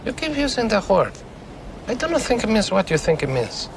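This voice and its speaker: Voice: medium pitch, big pitch swings, moderate pace, noisy background. A voice speaking film dialogue in a played clip.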